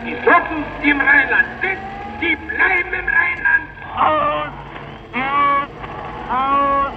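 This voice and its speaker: Archival 1930s radio broadcasts of a man declaiming loudly, like a period announcer. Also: very high voice, takes it slowly, full character voice. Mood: proclaiming. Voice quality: shouting, declamatory. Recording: distant lo-fi noisy archival radio.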